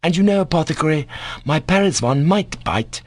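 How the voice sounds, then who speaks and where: Man playing a cocky, higher-pitched defiant schoolboy. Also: moderate pace, dry recording, studio quality. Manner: loud, incisive, rapped-out delivery. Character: defiant, cocky schoolboy, sharp-tongued and insolent.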